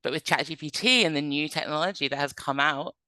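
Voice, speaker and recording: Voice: medium pitch, fairly quick, sharp tone, dry recording. A presenter from England, giving an informal webinar in a conversational, explanatory manner. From North Devon, England.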